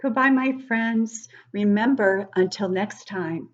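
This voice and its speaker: A woman reading a picture book aloud to young children, expressively, pausing to explain things and ask the listeners questions. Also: medium pitch, highs cut off. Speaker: children's book club host and reader.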